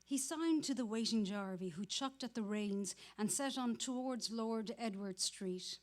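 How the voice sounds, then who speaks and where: A woman's voice: on the high side, measured pace, darkish tone. A woman narrating a novel aloud as part of a staged dramatic reading.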